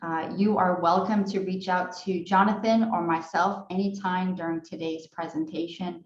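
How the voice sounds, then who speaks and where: A woman who directs training, opening a video-call session with polite, measured housekeeping notes and frequent fillers. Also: fairly low pitch, steady tone, slight background noise. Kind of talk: online training welcome and housekeeping. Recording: echoey room, dull lo-fi sound.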